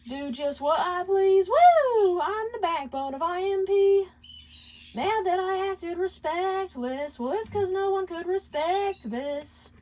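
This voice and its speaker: Demon underling, played by a woman: male, defiant, theatrical, high-pitched, given to smug sung comebacks. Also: animated, slow, darkish tone, exaggerated voice. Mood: smug defiant singing.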